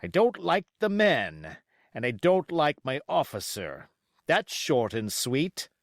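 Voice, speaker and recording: A man's voice: mid-range voice, big pitch swings, takes it slowly, dry recording, clean studio sound. A man voicing a blunt, stern sea captain in an audiobook, speaking formally in clipped, emphatic phrases. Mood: blunt, dissatisfied. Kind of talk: audiobook character acting.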